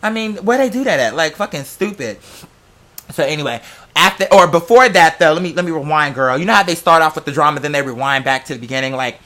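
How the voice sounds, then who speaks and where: Male vlogger, bright forceful high voice, casual storyteller, slangy, profane and theatrical. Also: expressive, fast talker.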